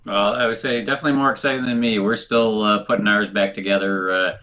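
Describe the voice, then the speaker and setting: A man's voice: on the low side, steady tone, fast talker, a crowd in the background. A man co-hosting a talk show in casual chat, with a nasal, congested voice, on a dull, lo-fi recording. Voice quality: nasal, stuffed-up. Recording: dull, lo-fi sound.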